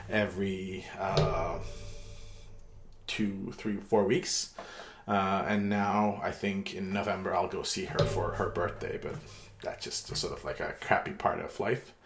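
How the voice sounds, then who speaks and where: Chatty lecturer from Turkey, roomy echo. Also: male voice, lowish voice, slight background noise. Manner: relaxed, chatty, many fillers. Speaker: university lecturer. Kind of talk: pre-recorded online lecture. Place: home room. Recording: echoey room.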